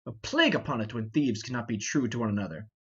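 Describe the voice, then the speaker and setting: A man's voice: medium pitch, lively intonation, fast, dull audio, very noisy, voice pushed down, lightly acted. A man voicing a blustering, grumbling, fat older knight and thief in an amateur reading of a Shakespeare play. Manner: exclamatory, complaining.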